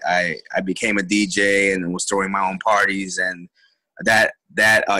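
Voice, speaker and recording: A man in his twenties from Chicago, telling his story as an interview guest, halting with many ums and restarts. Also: lowish voice, sharp tone, no room sound, poor audio. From Chicago, USA.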